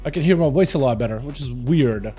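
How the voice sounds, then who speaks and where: A man's voice: mid-range voice, lively intonation, brisk, dark tone, breathy edge, soft background music. A young man from Russia streaming a game and chatting loosely through a headset, full of fillers and restarts. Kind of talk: casual livestream chat.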